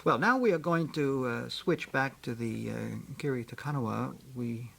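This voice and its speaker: A man's voice: mid-range voice, lively intonation, normal speed, creaky, fairly bright tone. A man hosting a radio interview, asking long, rambling questions and murmuring agreement while his guest speaks.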